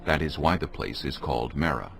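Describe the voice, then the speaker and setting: A man's voice: very deep voice, fairly quick, creaky, a bit bright. A man narrating scripture as a dramatic story, in a solemn storytelling manner.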